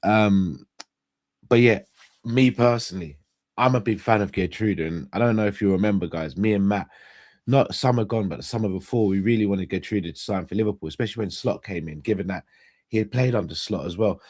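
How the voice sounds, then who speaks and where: A young man hosts a casual live stream. His somewhat low voice is hoarse and stuffed up. He chats straight to his viewers and often backs up to restart a phrase. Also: fairly quick, a little mellow. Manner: chatty, addresses viewers directly, restarts phrases. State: hoarse and congested, losing his voice. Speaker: host.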